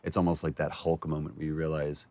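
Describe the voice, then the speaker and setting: A man's voice: very deep voice, level tone, fast, dark tone. A man giving reflective, rambling behind-the-scenes commentary as an actor looking back on his work.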